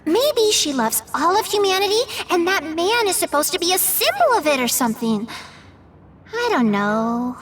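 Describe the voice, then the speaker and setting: A woman's voice: very high voice, big pitch swings, normal speed, a crowd in the background. An actress voicing a perky, clingy young female companion in a video game, talking in a bubbly, teasing way. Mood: musing. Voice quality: bubbly, teasing valley-girl talk. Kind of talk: video game cutscene voice acting. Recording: game audio with loud music behind.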